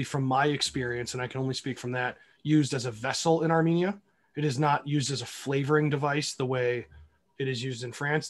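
An American man speaks as a panelist in a casual discussion. His voice is mid-range in pitch. He is opinionated but often qualifies his points as he goes. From Cleveland, United States. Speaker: panelist, wine documentary filmmaker. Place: remote virtual panel call.

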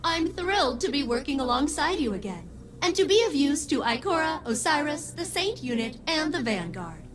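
A woman's voice: sits high, big pitch swings, normal speed. An actress voicing a formal, eager-to-serve female ship AI in a video game, speaking in a precise, slightly robotic way.

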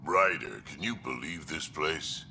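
Older alien grandfather, extremely low, gravelly, tense; faint station hum behind. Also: lively intonation, a little slow, bright, forceful tone, full character voice. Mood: gruff fondness. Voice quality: deep gravelly growl. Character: gruff older male krogan grandfather.

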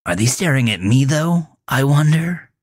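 A man voicing a manic, taunting man high on drugs in an audiobook, theatrical and exuberant. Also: animated, moderate pace, no echo, clean studio sound, lightly acted. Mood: gleeful taunting. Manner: theatrical, exuberant, shouting and singsong.

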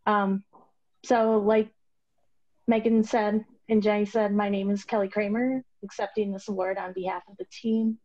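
A woman giving a conference presentation over a video call, explaining her team's work in a steady way with frequent ums and uhs. Her voice has a middling pitch, and the call audio comes through slightly dull and lo-fi. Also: moderate pace. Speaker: award recipient presenting a project.

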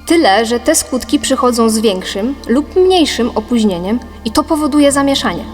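A woman reading a scripted philosophical audiobook in a measured, didactic manner. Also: a little high, expressive, close to an everyday voice. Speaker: audiobook narrator. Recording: long reverb, music behind. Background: music.

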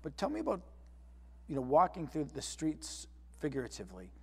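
Male moderator, husky voice, conversational questions, echoing studio reverb. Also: fairly high pitch, sing-song, brisk, darkish tone. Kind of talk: moderating a panel discussion.

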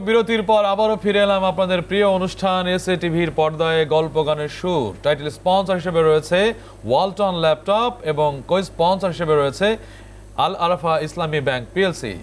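A man's voice: fairly high pitch, a little fast, a little hiss or hum. A man with a Bangladeshi accent hosting a television programme in a presenter's style, addressing guests and viewers. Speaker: TV show host.

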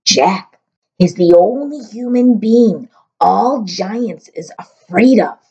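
A woman voicing a gentle, wary male giant in a storybook, explaining earnestly in quaint, muddled grammar. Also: medium pitch, expressive, takes it slowly, slightly rough, muffled recording, full character voice. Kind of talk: storybook character voice.

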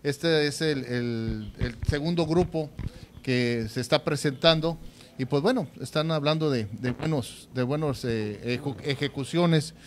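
A man's voice: mid-range voice, a little slow. A man co-hosting a sports show, giving commentary and analysis into a handheld microphone. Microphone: handheld microphone, masked speaker. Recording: noticeable noise behind the voice.

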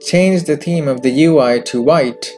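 A man presenting a software tutorial in scripted narration, recorded as a close voice-over with music behind him. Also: on the high side, measured pace. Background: background music.

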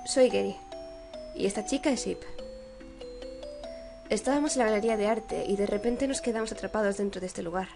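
A young performer voicing a cautious, protective young man from a horror game, calm, polite and serious. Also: high-pitched, fairly quick, gravelly, long pauses, music in the background. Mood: calm, concerned.

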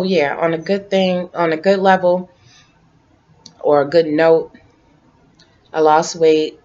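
A woman in her late forties chatting casually to camera from her living room couch, relaxed and rambling, repeating herself as she talks. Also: deep voice. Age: 40s. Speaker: vlogger. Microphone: webcam. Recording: dull lo-fi webcam audio.

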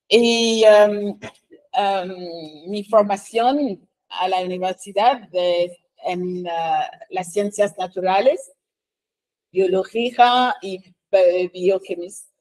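Slow, hesitant woman from Haiti. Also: medium pitch, a little mellow, poor audio. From Haiti, raised in Montreal, Canada. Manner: slow, hesitant, frequent fillers and self-corrections, laughs, tag questions. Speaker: professor speaking at a seminar. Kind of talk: informal self-introduction. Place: meeting room, indoors. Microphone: handheld microphone.

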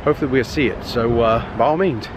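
A man in his forties chatting casually as he films himself with a phone at arm's length, walking outdoors by a busy highway. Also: fast talker. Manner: chatty, thinks aloud with many "uh"s. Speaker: vlogger exploring abandoned places. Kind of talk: casual walk-and-talk vlog. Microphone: phone held at arm's length. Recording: traffic noise behind. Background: passing cars.

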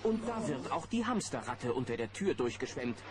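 A man narrating a scripted children's audio play as a lively storyteller. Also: mid-range voice, normal speed, background noise, close to an everyday voice.

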